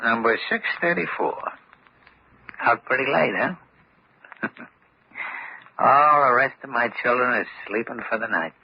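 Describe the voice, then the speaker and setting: A man's voice: medium pitch, unhurried, creaky, pauses often, husky. An actor playing a folksy, cheerful morgue keeper who is fond of his corpses, in a vintage radio mystery. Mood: obliging, wry. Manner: folksy, uneducated speech with jokey deference. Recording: dull, noisy old radio recording.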